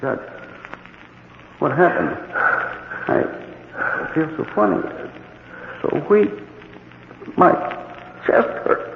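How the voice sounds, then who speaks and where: An actor playing an earnest, fearful, easily shaken assistant, in the theatrical style of old-time radio drama. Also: male voice, on the high side, big pitch swings, very slow, creaky, long pauses, heavily acted. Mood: weak, dazed. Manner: urgent, hesitant, theatrical. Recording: tinny, echoey, noisy old radio recording.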